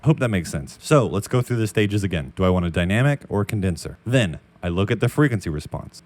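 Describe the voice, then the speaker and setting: Low-voiced young man explaining audio gear. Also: darkish tone. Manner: explanatory, chatty, frank. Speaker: audio YouTuber. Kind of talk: casual Q and A vlog. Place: temporary makeshift room near a construction site. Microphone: dynamic mic up close.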